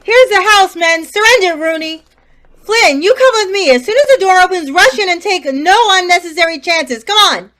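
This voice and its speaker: Radio-play police inspector, matter-of-fact and in charge, played by a woman, pitched very high. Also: lively intonation, normal speed, sharp tone, exaggerated voice. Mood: commanding. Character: brisk police inspector, confident. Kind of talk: community theater radio play.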